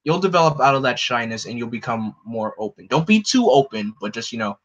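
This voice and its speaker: A young man with a deep voice hosting a casual livestream, rambling with lots of fillers and answering his chat. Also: lively intonation, fairly quick, a bit bright. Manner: rambling, lots of "like" and "you know". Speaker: livestream host. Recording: dull lo-fi stream audio.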